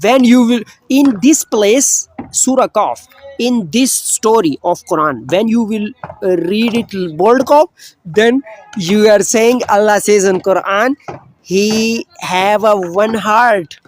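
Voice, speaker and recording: A man whose first language is Urdu, teaching Quran pronunciation online in halting English full of fillers and restarts. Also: high-pitched, faint music behind. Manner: halting non-native English with many fillers. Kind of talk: online tajweed lesson.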